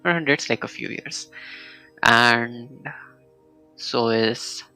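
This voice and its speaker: Creaky-voiced man streaming a game. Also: mid-range voice, takes it slowly, bright, forceful tone, poor audio. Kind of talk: casual live game commentary. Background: game music.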